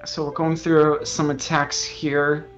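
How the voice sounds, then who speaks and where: A man with a fairly high voice gives an interview as a game developer, speaking earnestly and hesitantly. The audio is a little dull, with faint music underneath. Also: monotone, slow. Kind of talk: interview chat, explaining a game.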